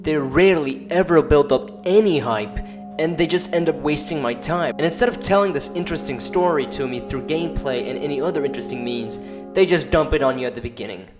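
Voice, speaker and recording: Casual higher-voiced man over music. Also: a little fast. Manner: informal, sweary, mock-playful asides. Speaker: video essay narrator. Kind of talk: casual opinion commentary over video. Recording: music under the voice. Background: background music.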